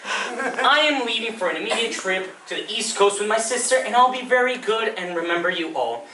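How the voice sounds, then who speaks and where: A man's voice: high-pitched, normal speed, bright, forceful tone, pitched above the performer's own voice. A man playing a flamboyant, chirpy children's librarian with a defiant streak, talking sing-song to kids in a theatrical stage monologue. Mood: mock-sweet, defiant. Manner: sing-song, exaggeratedly cheery, addressing small children. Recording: distant mic, roomy echo, faint noise.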